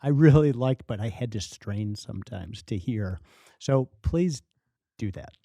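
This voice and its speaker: A man speaking up at a board meeting in a mellow, mid-range voice, rambling and restarting as he talks. The sound is dry and close to the mic. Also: fairly low pitch, animated, a little slow, vocal fry, dry recording. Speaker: board member. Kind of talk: casual remarks in a meeting.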